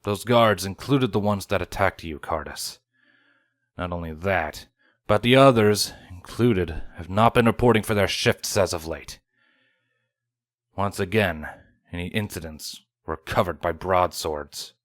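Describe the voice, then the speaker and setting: A man reads as a grave, dutiful male guard officer in a storybook tale. He speaks formally and with measure, in a lowish voice with a dry, close sound. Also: measured pace, no echo. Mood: grave, reporting.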